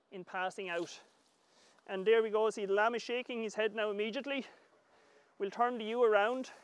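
A middle-aged man with an Irish accent giving calm, measured hands-on instructional commentary as an agriculture professor. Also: sits high, clear, resonant tone, no room sound. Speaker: agriculture professor demonstrating lambing. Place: large open livestock shed with straw pens.

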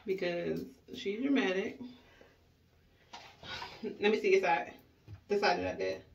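A woman's voice: mid-range voice, steady tone, a little slow, gravelly, distant mic. American woman, muffled echoey home recording. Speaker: mother styling her daughter's hair on a family vlog. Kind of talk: casual chat. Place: small indoor room at home. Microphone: camera or phone a step away. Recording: muffled, noisy, echoey room, off mic.

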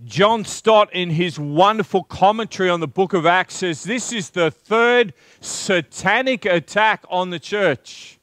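Australian pastor, chatty preaching style. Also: male voice, sits high, fairly bright tone, dry recording, clean studio sound. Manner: conversational preacher, asks the congregation questions, stresses words slowly. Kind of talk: sermon. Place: large church hall with carpeted stage.

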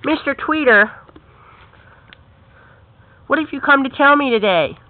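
Performer doing a shy lonely cat, meek and plaintive, high voice; tinny phone sound. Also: expressive, unhurried. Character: timid lonely cat in a woodpile.

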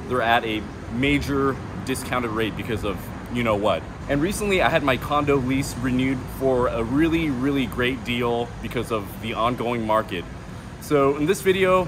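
A man in his thirties, a vlog host, talking to camera in a casual, upbeat way while walking around outdoors. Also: medium pitch. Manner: chatty, upbeat, talks with his hands. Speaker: vlogger host. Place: outdoors on a city plaza and rooftop. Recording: outdoor street noise behind. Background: traffic and city ambience.

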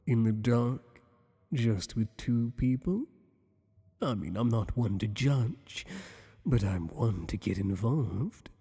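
A man's voice: medium pitch, animated, creaky, mellow, breathy edge, long reverb tail, full character voice. A man voicing a teasing, possessive monster boss. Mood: flirtatious. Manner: teasing, flirtatious, slow and intimate. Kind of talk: ASMR roleplay.

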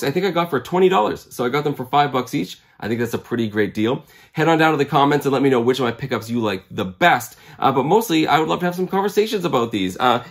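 A man in his forties, slightly hoarse from a cold, chatting casually and enthusiastically to camera as a video host. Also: mid-range voice. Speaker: comic collecting video host. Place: small indoor room lined with comics.

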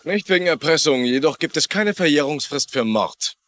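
A man's voice: a little high, animated, moderate pace, fairly bright tone. An actor playing a stern, determined man pressing for answers in a German-dubbed TV crime drama, firm and blunt. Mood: stern, insistent. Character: determined, stern son of accused man, official-looking.

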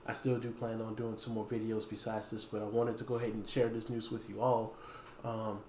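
Man, thirties, casual announcer, halting phrasing, fairly flat intonation, roomy echo. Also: fairly low pitch. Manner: halting, pausing mid-phrase. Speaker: small game store owner and video host. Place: dim indoor room with wooden floor. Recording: echoey room, faint background noise.

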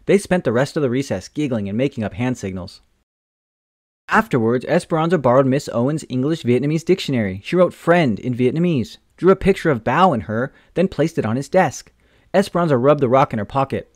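A man reading a children's picture book aloud in a gentle, expressive storytelling manner. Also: mid-range voice, a little fast, no echo, studio quality. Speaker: storytime reader.

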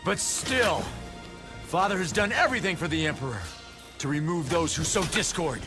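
An actor playing an indignant, earnest young son of a warlord in a video game, speaking emphatically. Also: male voice, on the high side, lively intonation, normal speed, music in the background, lightly acted. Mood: earnest, troubled. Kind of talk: dramatic English video game cutscene acting.

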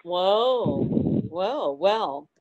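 A woman's voice: on the low side, animated, very slow, a little mellow, highs cut off. A woman chatting casually as she hosts a club meeting over a video call. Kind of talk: casual chat.

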